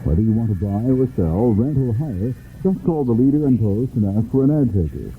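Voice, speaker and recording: Old time radio ad guy, very animated read, thin tinny sound, bit of noise. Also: medium pitch, brisk. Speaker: radio advertisement announcer. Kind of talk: scripted 1970s local radio ad read.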